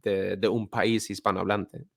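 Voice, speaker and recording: A man's voice: on the low side, little pitch movement, normal speed, vocal fry, a bit bright, no echo, clean studio sound. A man hosting a podcast in casual chat, talking loosely with lots of fillers and restarts. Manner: loose, filler-heavy talk with many restarts. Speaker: podcast host.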